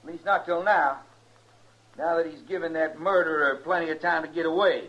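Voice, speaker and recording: Muffled old radio western, man playing American mob ringleader, highish voice, folksy, belligerent, taunting, defiant. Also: fairly quick, a bit bright, lightly acted. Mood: outraged. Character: belligerent rabble-rousing western mob leader, a male drifter.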